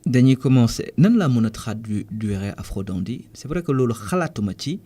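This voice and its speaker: Mellow-voiced Senegalese man, hesitant. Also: medium pitch, lively intonation, breathy edge. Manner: measured, thoughtful, pauses with frequent hesitations and restarts. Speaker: musician guest. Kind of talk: radio interview. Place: radio studio.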